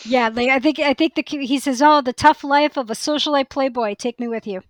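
A woman's voice: high voice, a little fast, no echo. A woman co-hosting a podcast in casual chat, with a wry, informal, opinionated way of talking. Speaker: podcast co-host.

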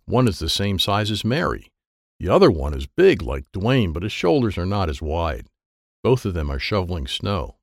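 A man's voice: low voice, sing-song, moderate pace, vocal fry, no echo, clean studio sound. A man reading a children's audiobook in short, simple, childlike sentences. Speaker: audiobook narrator.

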